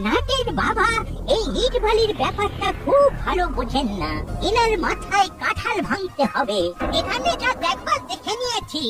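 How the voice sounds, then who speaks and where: A toothless old cartoon grandfather, wheedling and genial yet crafty. The voice is extremely high and animated, somewhat distant, with loud music behind it. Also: normal speed. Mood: scheming, businesslike. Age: older. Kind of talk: exaggerated Bengali cartoon dialogue.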